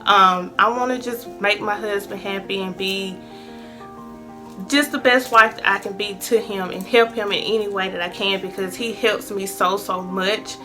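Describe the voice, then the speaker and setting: A woman's voice: medium pitch, steady tone, normal speed, over music. A woman in her late twenties sharing personal advice in a chatty, earnest vlog, with many ums. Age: 20s. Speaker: vlogger.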